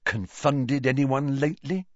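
A man's voice: mid-range voice, a little slow, no echo, dull audio, voice pushed up, natural voice. A man voicing a cheeky, evasive teenage schoolboy with a blithe, breezy manner in a storybook reading. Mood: evasive, defiant.

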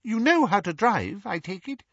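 A man voicing an excitable, fussy elderly male wizard who gushes and flatters. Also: moderate pace, dry recording, highs cut off, full character voice. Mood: brisk. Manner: effusive, fawning, high-pitched.

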